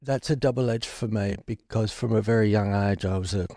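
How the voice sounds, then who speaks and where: A man in his forties, born in Ireland and raised in London, speaking as a guest in a recovery interview in a reflective, halting way with frequent restarts. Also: fairly low pitch, warm tone, no room sound, clean studio sound.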